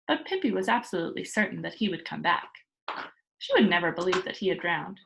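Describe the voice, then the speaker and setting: A woman teacher reading a children's book aloud and explaining it to young pupils in an expressive storytelling manner. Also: fairly high pitch, rough recording.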